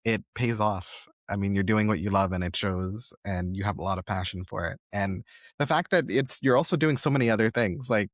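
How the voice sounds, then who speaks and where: A man's voice: fairly low pitch, fairly quick, dry recording, clean studio sound. A man hosting a conversational interview, speaking in an encouraging way and offering generous praise to his guest. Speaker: podcast host interviewing a guest.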